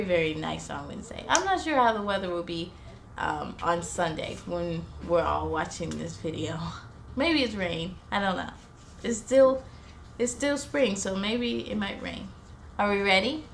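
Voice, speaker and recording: A woman's voice: medium pitch, lively intonation, a little slow, creaky, fairly bright tone, slight background noise. A woman hosting a children's story time in a sing-song way, talking directly to young children.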